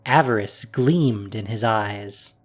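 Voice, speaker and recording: A narrator reading a fantasy story in a measured, expressive storytelling voice. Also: medium pitch, faint music behind.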